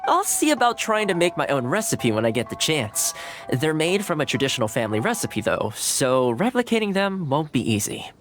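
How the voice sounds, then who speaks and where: An actor voicing a courteous, easygoing young male retainer in game dialogue, polite and gently humorous. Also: a little high, big pitch swings, fairly quick, soft background music. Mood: friendly.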